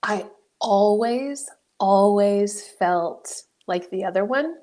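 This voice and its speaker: A woman in her forties from New Mexico, a guest coach telling her life story in an interview, reflective and animated, often laughing. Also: mid-range voice, takes it slowly. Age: 40s.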